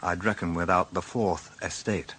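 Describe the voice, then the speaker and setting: A man's voice: sits low, steady tone, normal speed, fairly bright tone, husky. A British actor playing a dry, sardonic police inspector who narrates his own case in a radio drama. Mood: wry, reflective.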